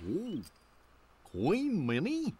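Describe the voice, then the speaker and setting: A man's voice: sits high, huge pitch swings, very slow, warm tone, a little hiss or hum, exaggerated voice. A man voicing an easygoing, naive cartoon dog knight in a video game, drawling and bumbling. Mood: puzzled.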